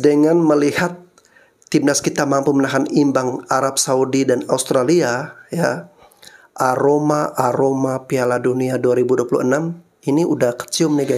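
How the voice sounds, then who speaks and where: Brief: man, mid-pitched voice, steady casual football chat to viewers. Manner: steady, keeps addressing viewers with filler tags. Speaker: football YouTube host.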